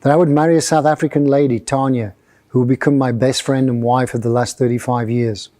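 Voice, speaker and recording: Guy in his 60s giving a heartfelt thank-you, warm low tone. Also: steady tone, fairly quick. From Yorkshire, England. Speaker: whisky distiller. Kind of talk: recorded acceptance speech.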